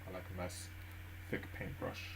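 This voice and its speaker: A man live-streaming his language study, thinking aloud with many fillers and restarts at a gentle pace. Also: normal speed, noisy background. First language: English. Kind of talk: relaxed study stream narration.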